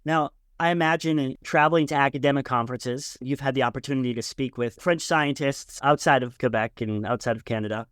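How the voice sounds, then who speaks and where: A man's voice: mid-range voice, brisk, few pauses, fairly bright tone, clear, resonant tone, dry recording, studio quality. A man hosting an interview podcast in a conversational, thoughtful and supportive way. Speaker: podcast host.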